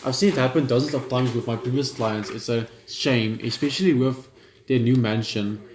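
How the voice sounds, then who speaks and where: A man's voice: medium pitch, normal speed, a little mellow, roomy sound, slight background noise. A man reading a horror visual novel aloud and commenting as he plays, in a plain way with stumbles over words. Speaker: gaming YouTuber.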